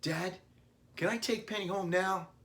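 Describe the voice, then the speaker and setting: A man voicing an eager, excitable ten-year-old boy from a children's storybook, pleading with excitement. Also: high voice, measured pace, pitched above the performer's own voice.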